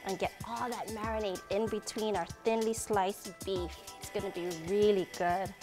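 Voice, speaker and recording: A woman's voice: mid-range voice, fairly even intonation, normal speed. A woman in her thirties hosting a cooking show, explaining each step in a friendly way while she cooks in a big home kitchen on a lapel mic. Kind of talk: cooking demonstration. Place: large home kitchen, indoors. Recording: background music and frying sizzle.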